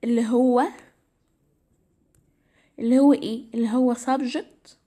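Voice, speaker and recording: A woman's voice: on the high side, flat delivery, measured pace, warm tone. Tired-voiced Egyptian woman teaching grammar. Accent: Egyptian Arabic. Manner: explains step by step, repeats "تمام". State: tired, slightly strained voice. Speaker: English teacher. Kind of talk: casual Arabic grammar lesson.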